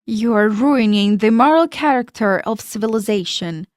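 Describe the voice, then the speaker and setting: A woman's voice: fairly high pitch, normal speed, no echo, lightly acted. A woman reading the lines of an angry, moralizing male city official in a story, close to her own voice. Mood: threatening. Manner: threatening.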